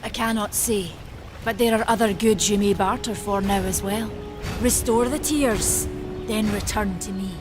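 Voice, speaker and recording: A woman's voice: medium pitch, unhurried, music in the background, lightly acted. A woman playing a stern, proud forge Maker of an ancient race in a fantasy game, solemn and commanding, with old-fashioned wording. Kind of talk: dark fantasy game acting.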